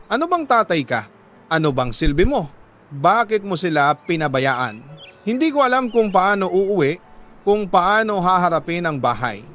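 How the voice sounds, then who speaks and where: A man with a slightly high voice narrates a first-person dramatic story. His delivery is solemn and emotive, broken into short phrases with deliberate pauses. There is faint background noise behind him. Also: fairly bright tone. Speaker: narrator reading a listener's letter.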